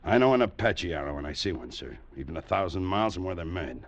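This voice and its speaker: An actor playing a seasoned, blunt cavalry sergeant in a 1950s radio western, gruff and emphatic yet respectful of rank. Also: male voice, deep voice, lively intonation, quick pace, dull audio. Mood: firm, certain. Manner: gruff, emphatic, military deference. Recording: vintage radio broadcast with faint noise.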